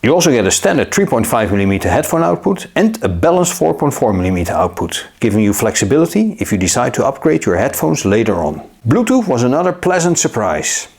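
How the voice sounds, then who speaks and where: A man narrating a scripted gadget review in a measured, upbeat way. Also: mid-range voice, sing-song, a little mellow. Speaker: tech reviewer.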